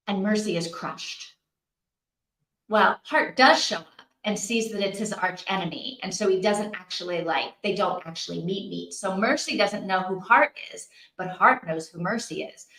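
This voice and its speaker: A woman hosting a casual livestream, recorded in a roomy, echoey space. She talks at a middling pitch in an expressive, chatty way. She thinks aloud and reacts with interest as she addresses her viewers. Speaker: host of a live reading-sprint stream.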